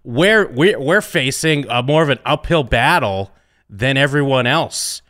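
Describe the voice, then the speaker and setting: A man pitching story ideas in a casual chat, animated and halting with many fillers. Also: no room sound, studio quality. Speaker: co-writer brainstorming a story. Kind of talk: casual creative brainstorming chat.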